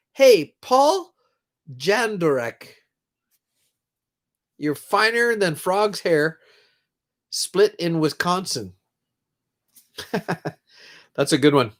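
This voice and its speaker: A man's voice: high-pitched, big pitch swings, very slow, pauses often, a bit bright. A middle-aged man who is congested, rambling casually on a live stream from his own room and greeting viewers by name. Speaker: livestream host.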